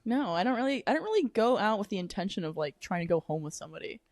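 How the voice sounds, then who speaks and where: A young woman with a voice that runs deep for a woman chats easily as a podcast guest. She strings her thoughts together with lots of "like" and the odd casual curse. The sound is close and dry, with no room around it. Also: very animated, quick pace, darkish tone, no echo. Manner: chatty, heavy use of "like", casual swearing. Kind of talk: casual chat. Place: apartment room.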